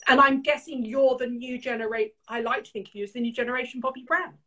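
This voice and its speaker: A woman's voice: fairly high pitch, fairly even intonation, fast talker, sharp tone. British woman hosting on a video call. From England. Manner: enthusiastic, leading questions. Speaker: host, beauty presenter. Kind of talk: casual video call interview. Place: home room.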